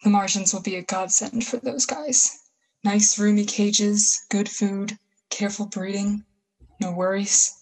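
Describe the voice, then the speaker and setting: Female actor for a bitter war survivor in a radio drama, husky voice, folksy slang, full of grand plans. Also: mid-range voice, steady tone, a little mellow, low-quality recording. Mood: scornful, scheming. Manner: folksy, slangy, halting emphatic phrases. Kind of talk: 1930s-style radio play acting.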